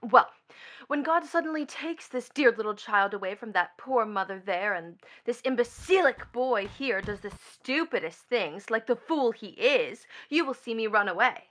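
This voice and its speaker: An actress playing a brazen, scornful young stepdaughter in a radio stage play, theatrical and mocking, with sudden swells and drops. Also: female voice, fairly high pitch, animated, normal speed, fairly bright tone. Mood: bitter, dramatic.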